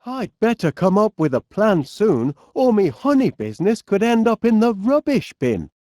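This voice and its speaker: A high-voiced, middle-aged Northern English inventor from a cartoon comedy game. His delivery swings up and down with a mellow tone, and he sounds cheerful, fussy and absent-minded as he politely bumbles along. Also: sing-song, normal speed, dry recording, studio quality. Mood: helpful, flustered. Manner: bumbling, polite, musing aloud. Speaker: video game voice actor. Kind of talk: animated comedy game acting.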